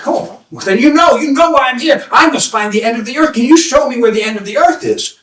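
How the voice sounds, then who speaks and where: A man voicing an earnest, stubborn young seeker in a live-told story, excitable and easily exasperated, in a roomy, distant recording. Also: high-pitched, fairly quick, pitched above the performer's own voice, heavily acted. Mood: eager. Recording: roomy echo, distant microphone.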